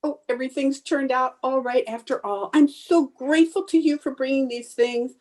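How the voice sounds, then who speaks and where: A woman playing an excitable, scheming housewife in an old-time radio sitcom, with a theatrical, gushing manner. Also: high-pitched, moderate pace, close to an everyday voice. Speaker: amateur radio player.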